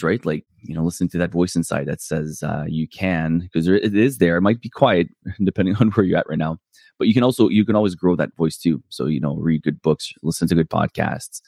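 A man with a very low, deep voice hosts his solo podcast in a casual, rambling way. He stops and restarts often, fills gaps with "um" and pokes fun at himself. The sound is dry and close, with no room around it. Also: a little mellow, dry recording. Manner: rambling, self-deprecating, many fillers and restarts. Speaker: podcast host.

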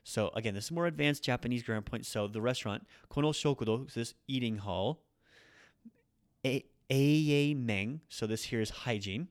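A man hosting a podcast, teaching Japanese grammar in a relaxed, casual way, often correcting himself and slipping in Japanese phrases. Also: mid-range voice, normal speed. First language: English. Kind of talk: casual spoken grammar lesson.

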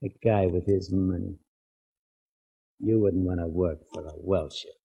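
A man's voice: sits low, steady tone, measured pace, gravelly, warm tone, breathy edge, highs cut off, full character voice. An actor playing a menacing, cocky gambling boss in a 1940s radio crime drama, with slangy, needling tough-guy talk. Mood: quietly threatening.

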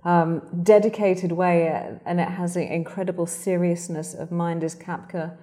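A woman interviewer with a low-set, mellow voice. She asks her questions in articulate, measured phrases, pausing now and then on small fillers. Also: little pitch movement. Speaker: journalist and interviewer.

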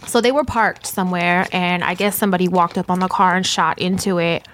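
A woman's voice: lowish voice, fairly even intonation, fairly quick, a bit bright, slight background noise. A middle-aged woman, co-hosting a podcast in frank, profane casual chat with lots of fillers. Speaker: podcast co-host.

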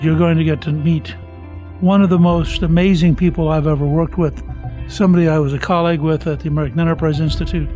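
An older man hosting a podcast, introducing his guest in a measured, formal way and asking her questions. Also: a little high, darkish tone. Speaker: podcast host.

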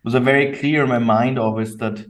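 A young adult man from Austria, bilingual in English and German, answering interview questions in a thoughtful, measured way with fillers and restarts. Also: medium pitch, fairly even intonation, recorded from a distance. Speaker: company co-founder, guest.

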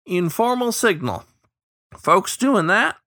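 A man's voice: sits high, very animated, slow pace, sharp tone, no echo, clean studio sound, voice pushed up, exaggerated voice. A man voicing a smug, teasing old male killdeer riverboat hand with a folksy, boastful drawl.

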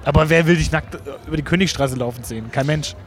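Young man, slightly high voice. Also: slight background noise. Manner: colloquial, halting storytelling. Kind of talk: casual anecdotal chat.

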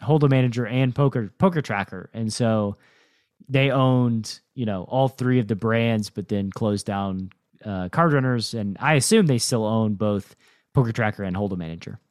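Relaxed man chatting, dry sound. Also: fairly low pitch, normal speed, no room sound. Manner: relaxed, chuckles often, explains things. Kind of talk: casual podcast chat.

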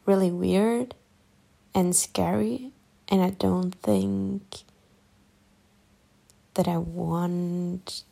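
Soft-spoken German-accented woman, gravelly. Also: fairly low pitch, fairly even intonation, very slow, long pauses. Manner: soft, musing, partly whispered. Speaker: YouTuber. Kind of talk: late-night personal vlog. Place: lying in bed at night.

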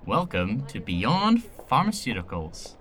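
A man's voice: medium pitch, huge pitch swings, unhurried, background noise. A man playing an easygoing, affable companion with a casual delivery in a sci-fi audio drama. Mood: breezy. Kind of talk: naturalistic audio drama acting.

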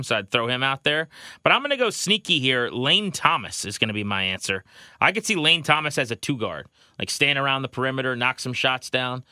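A man's voice: medium pitch, sharp tone, dry recording, clean studio sound. A man hosting a sports podcast, talking in casual banter. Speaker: podcast host.

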